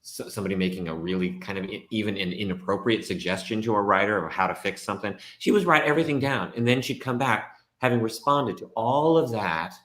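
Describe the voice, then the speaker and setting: A man, a stage actor and guest, talking through his work in a casual, animated way. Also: fairly low pitch, quick pace, hardly any pauses. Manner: animated storytelling, reenacts his own thoughts. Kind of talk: casual conversation. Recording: echoey room.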